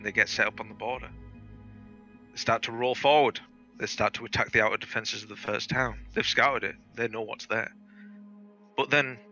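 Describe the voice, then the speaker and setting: A man's voice: mid-range voice, bright, forceful tone, muffled recording. A man telling informal, sweary war stories over game voice chat in a dramatic, theatrical way. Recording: game voice chat, music behind.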